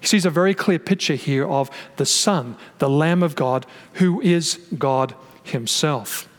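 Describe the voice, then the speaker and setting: A man's voice: fairly high pitch, a little slow. A man preaching a sermon from his notes, explaining scripture in a teaching manner with frequent restarts, his voice carried by a microphone and speakers. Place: church hall with a sound system. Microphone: microphone through a PA system. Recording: long reverb of a hall.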